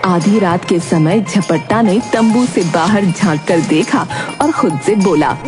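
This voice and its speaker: A woman narrating a children's cartoon story in an even storytelling manner. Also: lowish voice, unhurried, over music.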